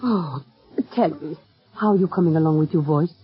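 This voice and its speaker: A grand, passionate opera diva, middle-aged and proud. Her voice is lowish and slightly husky, in theatrical old radio style on a muffled recording. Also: normal speed, faint music behind. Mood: affectionate. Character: proud, imperious, passionate female opera diva, secretly a mother. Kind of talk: 1940s radio drama acting.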